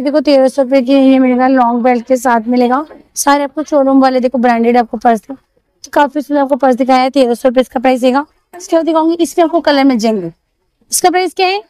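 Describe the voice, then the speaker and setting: A woman's voice: high voice, fairly even intonation, quick pace, a little mellow, dry recording, poor audio. A woman vlogging from an outdoor street market on a handheld phone, chattily repeating each price for her viewers. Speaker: shopping vlogger. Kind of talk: market walkthrough vlog.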